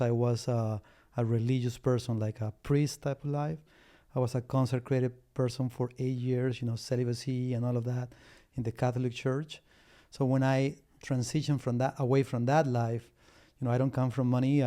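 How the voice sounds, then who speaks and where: A man's voice: mid-range voice, mellow. A middle-aged man from Peru, a business litigation lawyer, talking as an interview guest, whose first language is Spanish, with frequent restarts and fillers. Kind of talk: casual interview talk.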